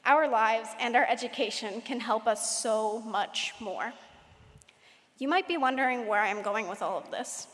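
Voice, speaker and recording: A teenage girl giving a prepared valedictorian speech at a graduation, measured and lightly humorous. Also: a little high, a bit bright. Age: late teens. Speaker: valedictorian speaker. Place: large hall at a graduation ceremony. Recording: echoey hall with long reverb.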